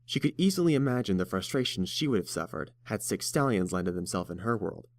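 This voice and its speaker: A man's voice: on the low side, lively intonation, a little fast, no room sound. A man reading scripted third-person narration for a fantasy story.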